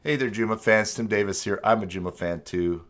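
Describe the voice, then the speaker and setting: A man hosting a casual web design live stream, rambling and self-deprecating, his voice croaky from a recent illness with an occasional cough. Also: fairly low pitch, a little fast, fairly bright tone.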